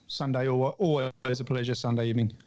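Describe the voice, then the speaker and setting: A man's voice: mid-range voice, fairly even intonation, fairly quick, darkish tone, highs cut off, a little hiss or hum. Aussie guy co-hosting a podcast, normal mid voice, just casual banter with mates. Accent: Australian. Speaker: podcast co-host.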